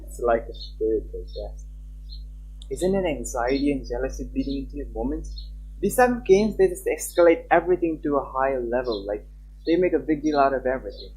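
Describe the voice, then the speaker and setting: A man's voice: mid-range voice, lively intonation, brisk, darkish tone, slight background noise, rough recording. A man livestreaming a game, chatting casually and reading visual novel text aloud. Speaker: live streamer.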